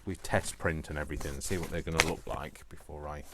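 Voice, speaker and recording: A man with a very low-pitched voice hosts a casual craft tutorial. He thinks aloud, playfully muttering and repeating short phrases to himself. The recording has clear background noise with clicks. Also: steady tone, fast talker.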